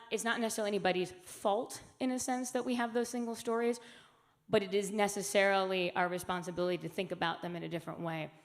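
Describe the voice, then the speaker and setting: A woman speaking earnestly at a conference, with emphatic phrasing and frequent fillers. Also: lowish voice. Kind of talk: keynote talk. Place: conference hall.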